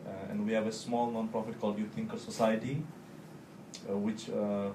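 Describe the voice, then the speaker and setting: Nepali-accented man, halting, in an echoey room. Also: fairly low pitch, level tone. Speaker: guest speaker, volunteer. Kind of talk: personal talk to an audience. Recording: echoey room, distant mic, clear noise.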